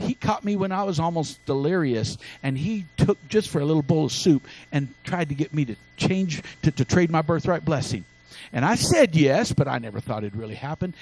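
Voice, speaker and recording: A male preacher voicing Esau, a big, tough grown hunter, in a pleading, strained, high-pitched voice. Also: darkish tone, poor audio.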